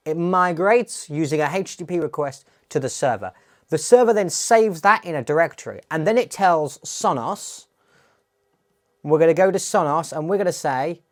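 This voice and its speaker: A man presenting a tech tutorial, explaining a demo in a plain-spoken, step-by-step way. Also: on the high side.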